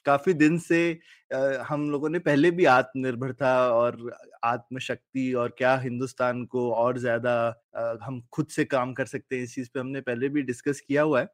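A male podcast host in relaxed conversational discussion. His delivery is colloquial and jocular, and he works his points out aloud, at a middling pitch. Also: moderate pace.

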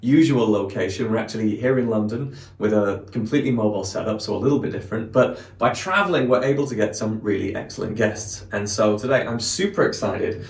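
A man's voice: on the low side, brisk, few pauses, distant mic. A man hosting an interview show, upbeat and encouraging as he introduces his guest and asks questions. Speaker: podcast host. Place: room in London, mobile setup. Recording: echoey, off-mic.

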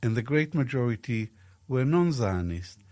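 An older man from Iraq, speaking slowly and deliberately in an interview clip, with frequent pauses. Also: mid-range voice, animated, a little mellow.

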